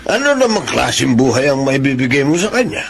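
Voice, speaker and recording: An actor with a Filipino accent playing a scornful, disapproving middle-aged father in a radio drama, gravelly and belittling. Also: mid-range voice, sing-song, a little fast. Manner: challenging, belittling. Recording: faint background noise.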